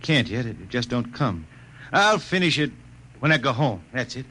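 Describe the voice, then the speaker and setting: A man's voice: mid-range voice, big pitch swings, normal speed, bright, forceful tone. An actor playing an aging, stubborn, homesick spaceman with folksy rural diction, in 1950s radio drama style. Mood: troubled. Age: older. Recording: noisy vintage 1950 radio recording.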